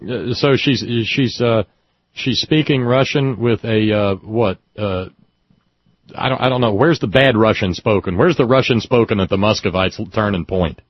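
An older man with a low, husky voice hosts a casual talk show, spinning rambling anecdotes with wry chuckles. The sound is dry and muffled, with the highs rolled off. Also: normal speed, dry recording. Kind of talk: casual call-in chat.

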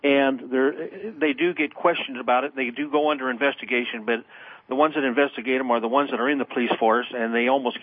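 A man calling in to a radio talk show, speaking haltingly with many ums and uhs. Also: medium pitch, steady tone, no room sound. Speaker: caller. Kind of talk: phone-in call. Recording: tinny phone line on radio.

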